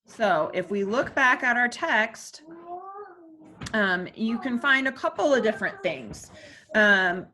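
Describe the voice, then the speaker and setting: A woman teacher reads a textbook passage aloud at a middle pitch, pausing often to explain terms and ask questions. Her talk is plain and patient, with filler words between sentences. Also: bright, forceful tone. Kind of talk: classroom read-aloud.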